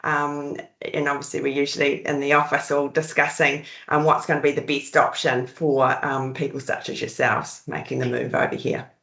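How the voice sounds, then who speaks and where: A woman with a New Zealand accent and a low voice talks easygoingly, pausing on ums as she introduces herself. She sounds like she is in an echoey room, heard over a video call. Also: little pitch movement, vocal fry, fairly bright tone. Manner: easygoing, hesitant, frequent ums. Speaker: recruitment consultant. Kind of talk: casual webinar self-introduction.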